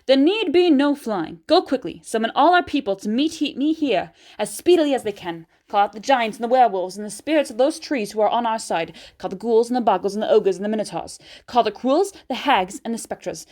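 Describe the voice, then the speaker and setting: Woman reader as storybook witch queen: imperious, scornful, pitched up and loud. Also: animated, a little fast, lightly acted. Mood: commanding. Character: cold, commanding female witch queen.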